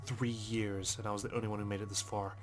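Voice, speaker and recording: A man reads a visual novel aloud as a playthrough host. His voice is low and fairly flat, brooding and free with swearing, dropping into casual asides over faint music. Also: quick pace. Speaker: let's play host.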